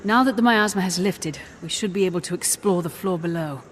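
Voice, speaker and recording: A woman voicing a serious, principled commander in a video game, earnest and measured. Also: on the low side, a little hiss or hum, lightly acted. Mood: businesslike. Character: serious, principled commander hero, firm but compassionate. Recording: game music underneath.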